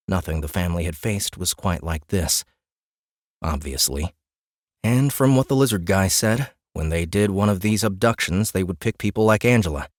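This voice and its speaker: A man's voice: sits low, no room sound, clean studio sound. A man reading scripted fantasy fiction as an audiobook narrator, with a wry storytelling manner.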